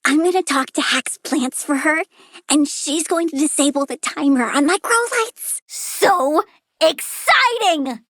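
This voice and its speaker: A woman's voice: sits high, lively intonation, takes it slowly, a bit bright, no room sound, exaggerated voice. A woman voicing a young girl plant villain in a video game, cutesy and petulant with a gleefully sinister manner. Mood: sinister, eager.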